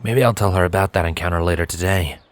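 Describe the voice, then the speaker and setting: A man's voice: low-pitched, fast talker, lightly acted. A man voicing a bashful, imaginative young male pony in an audio story, sheepish and mildly defensive. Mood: musing. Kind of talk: fan fiction audio story character acting.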